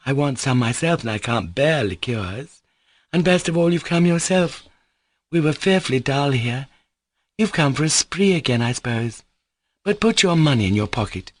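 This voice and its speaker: A man reading an audiobook voices a sharp, teasing young woman who talks reproachfully and insistently. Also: medium pitch, lively intonation, moderate pace, dry recording, clean studio sound, voice pushed up, natural voice.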